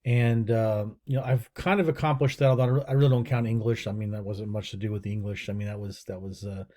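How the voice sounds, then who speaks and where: Middle-aged guy from the us rambling to camera, mellow tone, lots of uhs. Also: on the low side, fairly even intonation, fast talker. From United States. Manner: rambling, many fillers and restarts. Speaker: real estate entrepreneur and channel host. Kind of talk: casual unscripted talking-head video.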